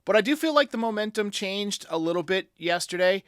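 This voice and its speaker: A man's voice: sits high, expressive, brisk, fairly bright tone, clear, resonant tone, no echo, clean studio sound. A man hosting a sports podcast, giving commentary in reply to fans. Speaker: podcast host. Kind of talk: sports commentary answering fan voicemails.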